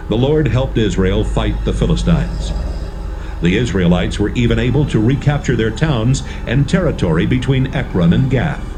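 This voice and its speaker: A man giving measured, storytelling narration for a dramatised audio Bible. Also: medium pitch.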